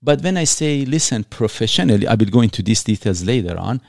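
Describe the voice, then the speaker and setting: Man from Iran, podcast host, earnest, explains with examples, mid pitch, dry close sound. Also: lively intonation, quick pace, vocal fry, a little mellow, no room sound. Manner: earnest, explains with examples, non-native phrasing. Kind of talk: reflective conversational podcast.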